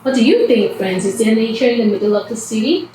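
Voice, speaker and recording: A woman's voice: a little high, expressive, quick pace. A woman reading a storybook aloud to young children, with simple child-directed phrasing and questions to her listeners. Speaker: children's read-aloud host. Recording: distant mic in echoey room, background music.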